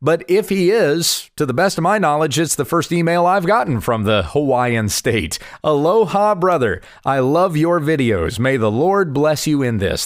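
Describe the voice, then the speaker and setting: A man in his forties, slightly hoarse from allergies, earnestly explaining Bible passages and answering viewer questions. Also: fairly high pitch, no room sound, studio quality. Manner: earnest, explanatory, reads scripture aloud. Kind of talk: casual Bible teaching video. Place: home in Kansas.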